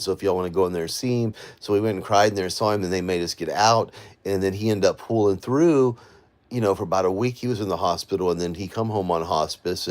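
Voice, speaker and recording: A tired man talks low and deep, his voice sitting at the bottom of the range. He rambles on, venting to his viewers in a loose, worn-out way during a late-night livestream from his home. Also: darkish tone. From Florida, USA. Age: 40s. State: exhausted. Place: at home, indoors.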